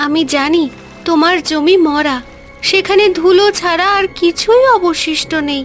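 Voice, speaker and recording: Woman acting a magical mermaid, very high pitch, gentle, formal storybook speech, cartoon tale. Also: normal speed, faint music behind. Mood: grateful, solemn. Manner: pleading and formal, storybook phrasing. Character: grateful magical female mermaid, gentle and solemn.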